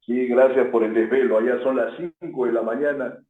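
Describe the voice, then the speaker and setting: A man's voice: mid-range voice, level tone, fairly quick. A man hosting a radio interview, asking long, winding questions. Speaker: host. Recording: tinny phone-like line in an echoey room.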